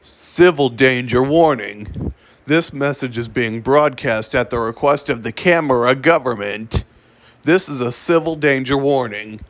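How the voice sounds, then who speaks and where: A man narrating a scripted emergency broadcast in a formal, solemn and deliberate manner. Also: medium pitch, a little hiss or hum. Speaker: emergency alert announcer.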